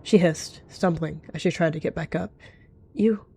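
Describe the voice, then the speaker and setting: A woman's voice: deep voice, little pitch movement, normal speed, vocal fry, darkish tone, a little hiss or hum. A woman narrating an improvised roleplay story in the third person, as one of the players. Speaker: roleplayer narrating and voicing cat characters.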